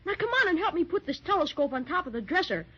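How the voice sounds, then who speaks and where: An eager, curious young boy, acted by a woman, high and bouncing all over with excited exclamations. It comes through a dull old 1930s radio transcription. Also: fast. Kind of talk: 1930s children's radio drama.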